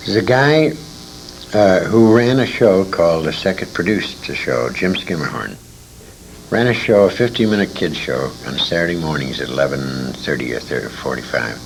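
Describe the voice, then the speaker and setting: Noisy 1980s interview recording, man in his sixties, animated intonation, creaky voice, detailed reminiscing, frequent asides. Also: sits low, moderate pace. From born in England, grew up in Detroit, USA. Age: 60s. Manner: emphatic, detailed anecdotal telling with asides. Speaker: veteran radio actor interviewed.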